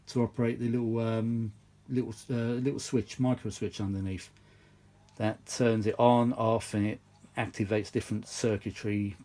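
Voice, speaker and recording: A man's voice: on the low side, mellow. A man giving casual, rambling hands-on commentary as a repair hobbyist, matey and full of tag questions. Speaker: vintage audio repair hobbyist and video host. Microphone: phone held or propped at the workbench.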